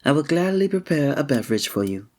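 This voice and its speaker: Man playing a husky, flirtatious fantasy innkeeper. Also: fairly high pitch, big pitch swings, quick pace, darkish tone. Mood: hospitable, wry. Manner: formal, old-fashioned, teasing, suave diction. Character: flirtatious, courteous male innkeeper in a fantasy village. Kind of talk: scripted ASMR roleplay addressed to the listener.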